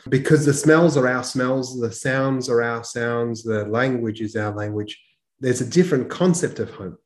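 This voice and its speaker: A man hosting a podcast interview, asking questions and introducing his guest in an animated way. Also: mid-range voice, normal speed. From Australia. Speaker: podcast host. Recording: roomy echo.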